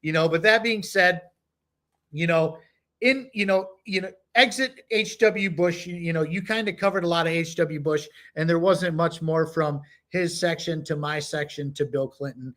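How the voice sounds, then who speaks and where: Rambling man with a higher voice. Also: normal speed, sharp tone. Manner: rambling, many "you know" fillers. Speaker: podcast co-host. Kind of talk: casual podcast chat, reading aloud. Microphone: home computer mic, no camera.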